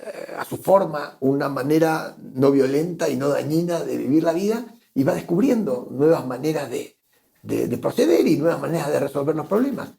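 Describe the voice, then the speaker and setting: Gravelly, mellow Argentine man. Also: a little high, lively intonation, moderate pace. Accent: Argentine Spanish. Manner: thoughtful, repeats words while searching for phrasing. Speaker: guest economist. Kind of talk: conversational interview.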